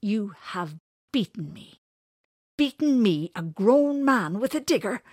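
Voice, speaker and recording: A woman's voice: mid-range voice, sing-song, slow, a little mellow, slightly rough, no echo, studio quality, pitched above the performer's own voice, heavily acted. A woman voicing an angry, sulky old man in a storybook, grumbling and snapping. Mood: beaten, bitter.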